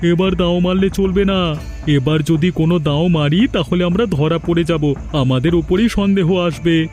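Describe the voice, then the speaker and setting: High-pitched sly village thief, played by a man. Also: normal speed, a little mellow, faint music behind, natural voice. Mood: scheming. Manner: scheming, smug. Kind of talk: cartoon dialogue acting.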